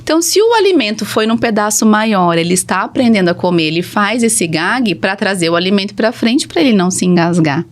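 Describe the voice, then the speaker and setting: A woman's voice: medium pitch, lively intonation, a little fast, hardly any pauses. Didactic Brazilian woman, interview guest. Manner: didactic, poses questions then answers them. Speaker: speech therapist, podcast guest. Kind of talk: conversational explanation in an interview.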